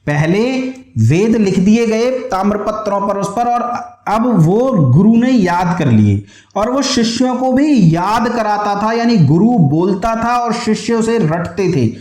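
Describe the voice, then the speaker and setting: A man's voice: high voice, darkish tone, roomy sound. A man teaching an exam history class online, explaining step by step, asking questions and answering them himself while repeating key terms.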